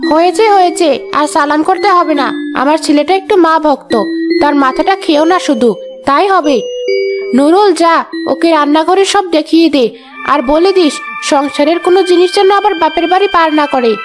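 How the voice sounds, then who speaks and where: A very high-pitched old village mother-in-law, acted by a woman, bossy and forever complaining. She nags and scolds in animated drama style, with music clearly behind her. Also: brisk. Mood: scolding. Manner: nagging, scolding. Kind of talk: animated horror drama acting.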